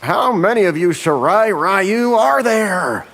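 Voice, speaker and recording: An actor playing a smug, threatening superpowered villain in a video game. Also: male voice, sits high, animated, slow, fairly bright tone, heavily acted. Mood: smug menace.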